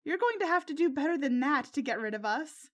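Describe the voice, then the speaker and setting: Lady doing high voiced teen girl bestie, excitable fangirl type, gushy, story narration. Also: a little fast. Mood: reassuring. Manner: gushing, squealing when excited. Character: excitable, loyal teenage girl, gushing superhero fangirl.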